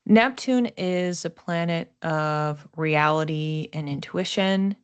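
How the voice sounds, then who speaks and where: A woman hosting an explanatory astrology talk in a conversational, thoughtful way, with expressive emphasis on key words. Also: low-pitched, slow pace, clean tone, lo-fi sound. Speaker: astrologer host.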